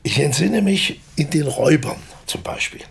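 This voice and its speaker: Older theatre man, very raspy and creaky, theatrical, genial radio-drama raconteur. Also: a little high, expressive, normal speed, full character voice. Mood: jovial, reminiscing. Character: older former stage actor, genial theatrical anecdote-teller. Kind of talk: naturalistic radio drama dialogue.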